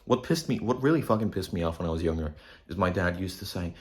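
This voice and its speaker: Low-voiced young man. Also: animated, fast, a little mellow. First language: Polish. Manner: rambling, blunt, swears freely. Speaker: vlogger. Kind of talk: casual monologue to camera.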